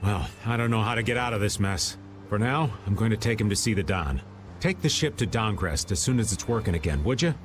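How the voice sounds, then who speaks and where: Roguish middle-aged man, swinging pitch actor's performance. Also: lively intonation, a little fast, background noise, close to an everyday voice. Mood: sly, resigned. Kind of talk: video game dub acting.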